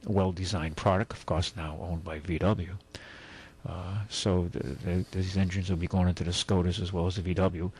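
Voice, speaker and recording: Man, car radio host, low voice, husky, fillers, restarts, casual chat. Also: darkish tone. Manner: rambling, many fillers and restarts.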